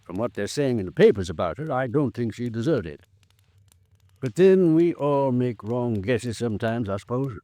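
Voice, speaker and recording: A man playing a formal, frank, fatherly older lawyer in an old-time radio drama, speaking in a measured, protective way. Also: medium pitch, animated, dark tone, no room sound, close to an everyday voice. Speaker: supporting actor.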